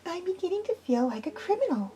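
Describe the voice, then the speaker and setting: A woman voicing a nervous, jumpy young woman who speaks haltingly. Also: very high-pitched, big pitch swings, dark tone, voice pushed up. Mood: anxious. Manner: anxious, halting.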